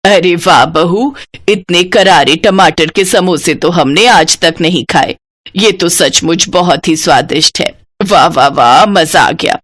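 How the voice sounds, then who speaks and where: A woman voicing an affectionate, approving elderly mother-in-law in a moral cartoon story, speaking slowly and emphatically. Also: on the low side, fairly bright tone, voice pushed down. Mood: delighted.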